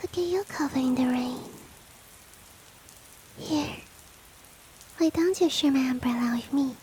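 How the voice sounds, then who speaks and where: A woman voicing a courteous, coaxing monster-girl maid in a soft, breathy, whispery roleplay ASMR style with formal, old-fashioned politeness. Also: sits high, unhurried, a little hiss or hum. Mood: coaxing, pitying. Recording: rain ambience behind the voice. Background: falling rain.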